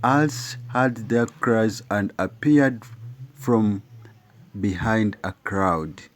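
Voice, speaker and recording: A man reading a children's story aloud in a very slow, deliberate way. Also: on the low side. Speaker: storybook reader.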